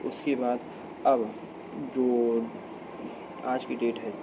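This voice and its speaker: An Indian man giving an informal explainer as a video host, halting and repeating himself as he corrects his phrasing. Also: medium pitch. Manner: halting, repetitive phrasing with frequent self-corrections. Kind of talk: informal explainer talk to camera. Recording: clear steady background hum and ambient noise.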